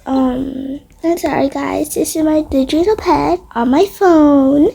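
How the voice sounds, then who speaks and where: A young-sounding female speaker narrating a casual improvised game roleplay in a hushed, hesitant way, often trailing off into whispers. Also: sits high, slow, creaky. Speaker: roleplay video creator.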